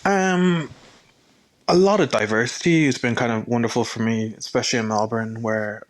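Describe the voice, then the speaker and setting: A man's voice: medium pitch, moderate pace. A young man who grew up in Ireland, a chef talking casually and modestly in an interview, with frequent fillers. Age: young adult. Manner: relaxed, modest, lots of "uh" and "kinda". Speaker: chef, guest.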